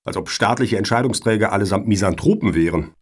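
An actor playing a wry, officious foundation board member in a satirical radio play, with an ironic, formal way of talking. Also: male voice, fairly low pitch, quick pace, breathy edge.

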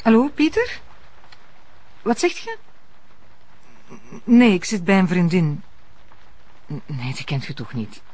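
Flustered Flemish woman, very lively intonation. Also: on the low side, slow, long pauses, full character voice. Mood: flustered on phone. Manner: hesitant, flustered. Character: evasive married woman, flustered but firm. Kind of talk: naturalistic TV drama acting.

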